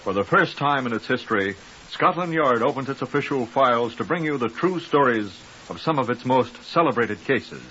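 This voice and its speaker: A man's voice: medium pitch, lively intonation, moderate pace, pushed and bright. A man announcing a programme in a formal 1950s radio style. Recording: muffled, crackly old radio recording.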